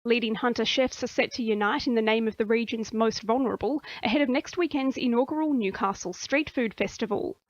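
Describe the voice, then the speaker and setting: An Australian woman reads scripted radio news in a somewhat high-pitched voice. The sound is dry and close, with a slightly muffled lo-fi broadcast quality. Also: brisk, hardly any pauses, no echo. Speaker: radio newsreader.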